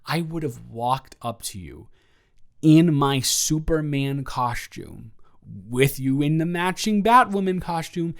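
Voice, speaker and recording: A young man playing a lovestruck roommate in an intimate audio roleplay, earnest, rambling and stammering as he nervously confesses his feelings. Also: medium pitch. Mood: earnest, yearning. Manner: rambling, stammering, earnest, awkwardly self-deprecating.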